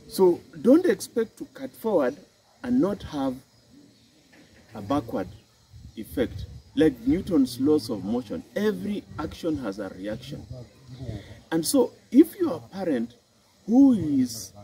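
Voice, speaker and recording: Kenyan man, unhurried and lilting, mellow tone, faint outdoor hiss. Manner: slow and deliberate, with a swooping emphatic pitch. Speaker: psychology and health specialist. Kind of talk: reflective talk to camera.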